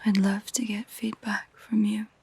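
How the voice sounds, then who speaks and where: A woman voicing a lonely, possessive female siren in a soft, breathy whisper, coaxing and intimate, for a roleplay audio drama. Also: medium pitch, flat delivery, exaggerated voice. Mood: wistful, lonely. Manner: slow, gentle, intimate.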